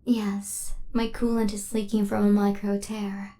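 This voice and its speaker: Woman acting a soft-whispering, devoted killer robot. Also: medium pitch, level tone, slight background noise, close to an everyday voice. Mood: calm, analytical. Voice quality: soft, breathy half-whisper. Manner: formal, precise, measured machine-like phrasing. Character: devoted female killer robot, injured, tenderly possessive. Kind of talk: intimate ASMR roleplay acting.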